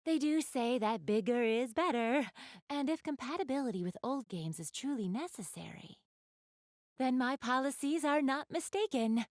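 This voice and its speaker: A woman's voice: high voice, animated, moderate pace, no room sound, clean studio sound. A woman playing an elegant, polite young goddess in a video game, speaking to the listener in formal, ladylike phrases. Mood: earnest, thoughtful. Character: elegant, refined young goddess with gamer enthusiasm. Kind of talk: video game character dialogue, addressing the listener.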